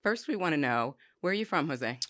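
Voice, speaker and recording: A woman co-hosting a podcast, asking short brisk questions of her guest. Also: deep voice, expressive, a bit bright, no echo. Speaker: podcast co-host. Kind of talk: hosting interview.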